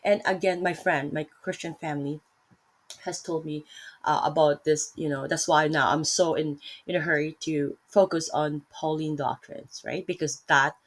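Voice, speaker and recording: Filipino-accented woman, low register, unscripted Bible vlog, meandering delivery with frequent self-corrections. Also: fairly even intonation, moderate pace. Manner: rambling, self-correcting, many fillers. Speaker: vlogger teaching Bible study. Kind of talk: casual Bible talk.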